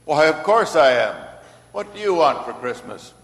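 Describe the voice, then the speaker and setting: Man playing a lively, kindly old Santa. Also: on the high side, moderate pace. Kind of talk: old-time radio play acting. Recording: echoey hall, faint noise.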